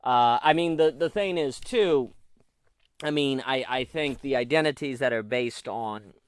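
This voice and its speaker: A man's voice: mid-range voice, a little slow, clean tone, dry recording. A man hosting his own talk, thinking aloud about ideas in a loose, rambling way with frequent "uh"s and restarts. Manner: thoughtful, rambling with many fillers and restarts. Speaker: podcast host discussing philosophy. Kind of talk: unscripted solo commentary.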